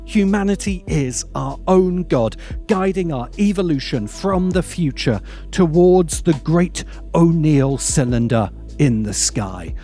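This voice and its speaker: Slow, mellow man narrating with lively pitch. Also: soft background music. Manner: measured, emphatic pauses, wry asides. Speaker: video essayist, narrator. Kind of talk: scripted video essay narration.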